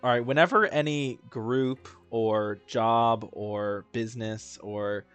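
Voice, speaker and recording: A man's voice: medium pitch, takes it slowly, a bit bright, clean tone. A man giving casual, slangy commentary on a video game and reading its text aloud with joking asides. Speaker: game streamer. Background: faint game music.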